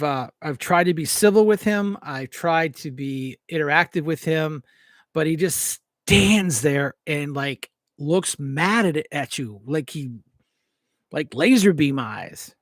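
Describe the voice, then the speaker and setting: A man's voice: on the high side, no echo, studio quality. An American man giving an informal, off-the-cuff political rant as a host, blunt, combative and folksy, repeating phrases for emphasis. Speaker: talk show host and local political candidate.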